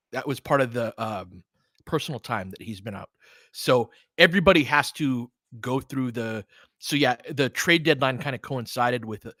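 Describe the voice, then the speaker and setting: A man's voice: medium pitch, fairly bright tone, no echo. An American man hosting live basketball commentary, talking casually and thinking aloud, with emphatic stresses as he chats with listeners. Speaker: sports show host and game commentator.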